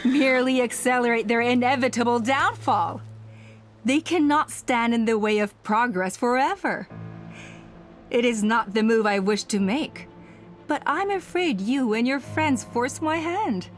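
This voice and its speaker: Haughty, high-voiced matriarch villain. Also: female voice, lively intonation. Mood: menacing. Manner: measured, haughty, persuasive speechmaking. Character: ruthless clan matriarch villain, convinced of her own righteousness. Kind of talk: video game voice acting. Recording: music under the voice.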